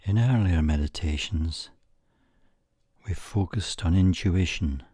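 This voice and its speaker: A man guiding a meditation in a soothing, measured way, with pauses between short phrases and a soft, breathy voice that trails toward a whisper. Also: sits low, no echo, studio quality. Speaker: meditation guide. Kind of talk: guided meditation narration.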